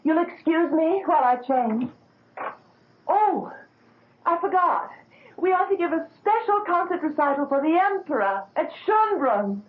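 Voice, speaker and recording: A vain, fame-loving young wife in an old radio drama, high and swooping as she gushes and coaxes. It comes through thin and tinny, like an old broadcast. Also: big pitch swings, a little slow, heavily acted. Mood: excited. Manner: gushing, coaxing, theatrical. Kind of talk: 1970s radio drama acting.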